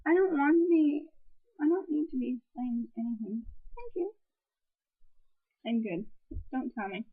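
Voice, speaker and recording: High-voiced young woman ranting. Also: expressive, unhurried, long pauses, slightly rough, phone-quality sound. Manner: exasperated, swears a lot, rambling restarts. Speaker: film reviewer. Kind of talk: ranting casual movie review. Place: room at home with a piano.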